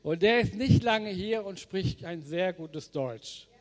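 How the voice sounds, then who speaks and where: Enthusiastic pastor with a ringing church echo. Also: male voice, high-pitched, expressive, slow. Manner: enthusiastic, exclaims wow and amen. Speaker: pastor leading a baptism. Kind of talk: service with live interviews. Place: church service. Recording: long church reverb.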